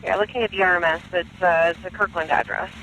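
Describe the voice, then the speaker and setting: A man dispatches police units over a noisy radio channel. His voice is high and sharp, with very little movement in pitch, and he relays details in a procedural, matter-of-fact manner. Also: steady tone, quick pace. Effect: police radio transmission. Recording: police radio with clear background noise.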